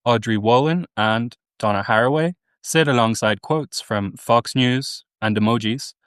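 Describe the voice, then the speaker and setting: A man hosts a podcast interview in a measured, formal way. He introduces his guest and asks careful questions. His voice is smooth and clear, with a dry sound close to the mic. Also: mid-range voice, a bit bright, no echo. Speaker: podcast host.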